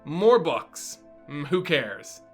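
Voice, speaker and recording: A man's voice: on the high side, sing-song, drawn-out words, soft background music, full character voice. A man voicing a bold, impatient young princess in a storybook reading, breezy and teasing. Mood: unimpressed.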